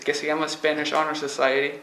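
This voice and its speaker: Flat-voiced young college man. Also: fairly high pitch, brisk, roomy sound, rough recording. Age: young adult. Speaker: university student guest.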